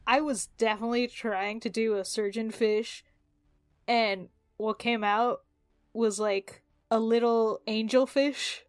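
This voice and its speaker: Slow-paced, higher-voiced woman, closely recorded. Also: steady tone, no echo, studio quality. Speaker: player in a tabletop roleplaying game. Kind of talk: casual chat, describing a character's actions.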